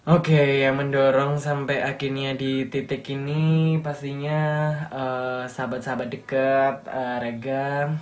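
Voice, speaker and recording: A man's voice: medium pitch, flat delivery, slow. Young male radio announcer from Malang, light Javanese accent, upbeat and motivational. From Malang, East Java. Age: young adult. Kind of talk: casual interview chat.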